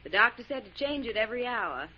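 A woman's voice: a little high, animated, fast, natural voice. An actress playing a caring, inquisitive young woman in a 1940s radio drama. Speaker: actor. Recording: muffled, noisy 1940s radio recording.

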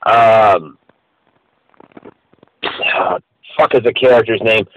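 A man's voice: on the low side, takes it slowly, narrow, tinny audio. A man co-hosting a podcast in casual chat, blunt and profane. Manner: blunt and profane, laughs often. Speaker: podcast co-host.

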